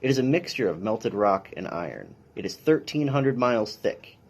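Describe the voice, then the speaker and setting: Casting: man with a lower, husky voice, reading a picture book to children, instructional and a little dry. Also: sing-song, normal speed, a little mellow. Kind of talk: read-aloud of a children's science picture book.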